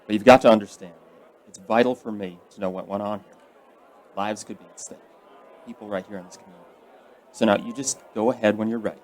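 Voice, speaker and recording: A man acts a middle-aged investigator in a radio play, stern but fatherly. His voice is on the low side, and he speaks with measured, probing authority. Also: fairly even intonation, darkish tone, some chatter behind, natural voice. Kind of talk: radio drama acting.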